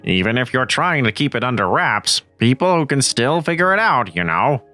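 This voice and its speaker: A cheerful male school buddy, voiced by a man in a manga dub. His tone is bright and bouncy, with lively pitch. Light music plays behind. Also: moderate pace. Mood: friendly. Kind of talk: manga voice-over acting.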